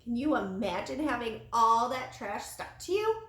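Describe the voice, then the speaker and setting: A woman reading a children's storybook aloud in an expressive, animated way with dramatic emphasis. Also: a little high, moderate pace. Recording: roomy, echoey sound.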